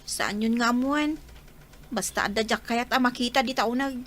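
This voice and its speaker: A woman's voice: a little high, normal speed, fairly bright tone. A woman acting a spoiled, whiny, defiant schoolgirl with an Ilocano accent in a radio drama. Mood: defiant, scheming. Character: spoiled, sulky schoolgirl daughter, tattling and defiant.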